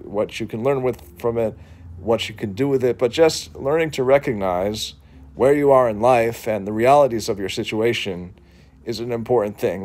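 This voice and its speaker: A man's voice: mid-range voice, darkish tone. A man in his thirties with a New England accent, talking earnestly to his phone camera from a couch at home, with frequent restarts and fillers. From New England, Boston. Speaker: vlogger. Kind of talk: reflective talk to camera. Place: living room, seated on couch indoors. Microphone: phone camera at arm's length.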